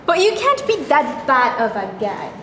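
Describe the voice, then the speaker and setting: An actress plays an agreeable, earnest woman in a comic staged reading. Her fairly high voice carries in an echoey hall. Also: wildly swooping pitch, normal speed, a bit bright, exaggerated voice. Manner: conciliatory, earnest. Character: agreeable, hopeful woman, forgiving ex-smoker. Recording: echoey hall, background noise.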